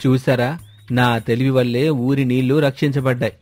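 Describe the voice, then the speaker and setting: A man's voice: mid-range voice, little pitch movement, moderate pace, darkish tone, lightly acted. A man voicing a boastful, foolish village schemer with confident, rustic colloquial speech in a moral story. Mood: self-assured.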